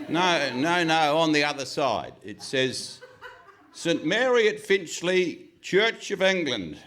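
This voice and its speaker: Excitable investigator hero, radio comedy. Pompous declaiming, very animated, unhurried. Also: male voice, a little high, exaggerated voice. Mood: alarmed. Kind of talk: Goon Show style radio comedy acting. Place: live stage performance in a hall.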